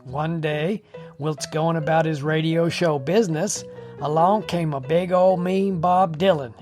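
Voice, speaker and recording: A man's voice: fairly high pitch, fairly even intonation, a little slow, a little mellow, soft background music. A man hosting a radio show, telling comic stories in a folksy, mock-solemn way.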